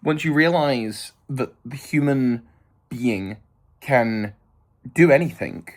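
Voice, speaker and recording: A man in his thirties thinks out loud to his viewers in an earnest, meandering way. He trails off, restarts and fills gaps with "um" and "like". His voice sits in a middle pitch. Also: takes it slowly. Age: 30s. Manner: rambling, hesitant, lots of fillers and restarts; thoughtful and earnest. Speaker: vlogger. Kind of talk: reflective casual monologue.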